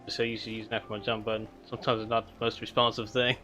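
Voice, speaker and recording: A man streaming a game, chatting casually with relaxed, mumbled asides and frequent chuckles. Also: fairly low pitch, very fast, soft background music. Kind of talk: casual gameplay commentary.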